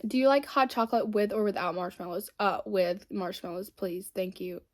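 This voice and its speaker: A woman's voice: mid-range voice, fairly even intonation, moderate pace. A woman, chatting casually and rambling while she does her makeup. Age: 20s. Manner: chatty and rambling, many fillers. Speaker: beauty vlogger.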